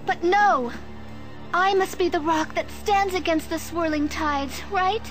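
A woman's voice: very high-pitched, a little slow, faint music behind. A woman playing an earnest, flustered temple guard captain in a video game, speaking formally and hesitantly. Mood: earnest, worried. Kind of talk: video game cutscene acting.

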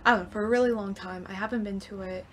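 A woman's voice: mid-range voice, steady tone, fast, a bit bright. A young woman chatting casually and upbeat to her viewers, recorded on a camera in a small room at home. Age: young adult. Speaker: vlogger. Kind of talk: casual vlog chat to viewers. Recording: noticeable background noise.

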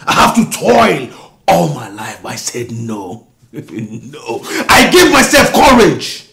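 An actor with a Nigerian accent playing a bitter self-made husband who rants at his wife about his upbringing, swinging from low mutters to shouts. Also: big pitch swings, slow, gravelly, a crowd in the background, full character voice. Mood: angry. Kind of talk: melodrama acting.

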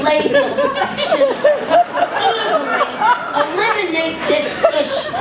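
Middle-aged woman, high voice, hammy indignant letter reading in a big echoey hall. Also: far from the mic, very noisy. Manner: exaggerated indignant reading, audience laughing. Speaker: stage reader. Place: stage in a large hall. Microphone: stand mic at a music stand.

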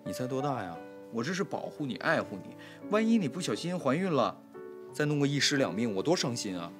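A man's voice: mid-range voice, big pitch swings, fairly quick, lightly acted. A man playing a harried lord of a household who is exasperated, scolding and flustered, in an audio drama. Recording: background music under the voice.

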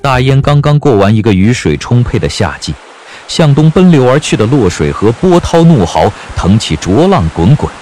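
A man's voice: mid-range voice, sing-song, normal speed, darkish tone, a little hiss or hum. A man narrating a period audio drama as a storyteller, reading scripted prose dramatically.

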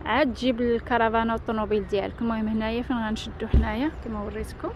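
A woman's voice: fairly high pitch, steady tone, very fast, noisy background. A woman with a Moroccan Arabic accent chatting casually to viewers as a family vlogger. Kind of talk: casual vlog talk to viewers. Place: in a car and outdoors at a campsite. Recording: music over outdoor and car vlog audio.

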